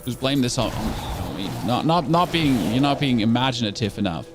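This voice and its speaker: A German man chatting excitably and casually while streaming a video game, often stumbling and restarting his words. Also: mid-range voice, normal speed, music in the background. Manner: chatty, excitable, self-correcting. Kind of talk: casual gameplay commentary.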